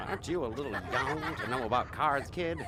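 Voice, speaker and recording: An actor playing a grumpy, boastful stage magician in an audio drama, sarcastic and theatrical in manner. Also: male voice, animated, brisk, natural voice. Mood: irritated. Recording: faint noise with low rumble.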